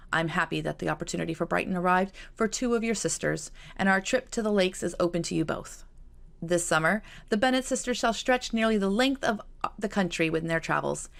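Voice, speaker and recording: A woman voicing an affectionate, genteel Regency aunt in a formal, measured audiobook letter. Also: lowish voice, vocal fry.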